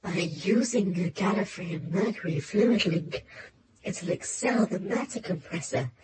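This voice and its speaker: A greedy alien bully drags out each threat in a creaky voice pushed well above a normal male pitch. The delivery is menacing and swooping, an audio drama villain on a very noisy recording. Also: animated, reverberant. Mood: greedy menace. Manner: slow, drawn-out, threatening delivery.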